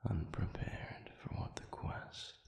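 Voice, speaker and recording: A man voicing a formal, intimate demon in an audio roleplay, speaking in a measured, breathy, gravelly whisper. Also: darkish tone, roomy sound, lightly acted. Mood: grave, resigned. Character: formal, sadistic male demon with lost memory, tender and curious.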